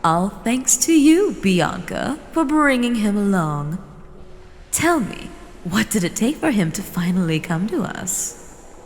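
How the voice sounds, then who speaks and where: A woman voicing a gracious but chiding vampire elder in a fantasy audio drama, deliberate and theatrical. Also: mid-range voice, very animated. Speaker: voice actor. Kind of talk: scripted fantasy character dialogue. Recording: long reverb, faint background noise.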